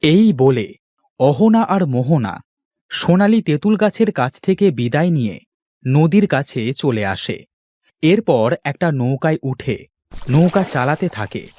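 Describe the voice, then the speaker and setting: A man tells a fairy tale as a cartoon narrator. His voice is on the high side and very expressive in pitch, recorded close and dry over soft music. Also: a little slow, dry recording. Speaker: storyteller, narrator.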